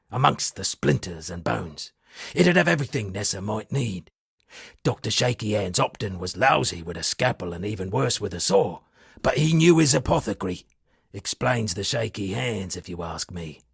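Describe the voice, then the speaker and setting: A shipwrecked sea captain for a fantasy game, a roguish would-be poet. The voice is heavily creaky and very raspy, swooping expressively through wry, theatrical storytelling. It is recorded close and dry. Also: male voice, fairly low pitch, animated, normal speed, no room sound, full character voice. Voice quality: gravelly, husky. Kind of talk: video game character dialogue.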